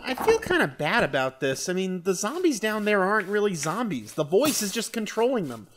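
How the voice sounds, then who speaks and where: A woman's voice: medium pitch, very animated, a little fast, a little hiss or hum, close to an everyday voice. A woman playing a wry, uneasy member of a besieged group in a web film, talking casually. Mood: wry, resigned. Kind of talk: scripted web film dialogue.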